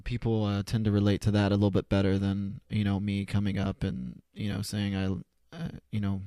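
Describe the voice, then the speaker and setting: A man in his twenties answering casually as a podcast guest, halting and self-effacing, often repeating his words. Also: lowish voice, completely flat delivery, dark tone, dry recording. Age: 20s. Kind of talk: casual, unscripted interview answers. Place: converted garage home studio.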